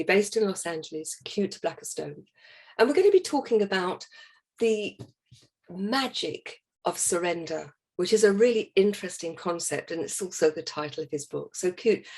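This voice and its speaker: A woman's voice: fairly low pitch, animated, normal speed, darkish tone, breathy edge. A woman hosting an interview show, upbeat and welcoming as she introduces her guest. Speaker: podcast host.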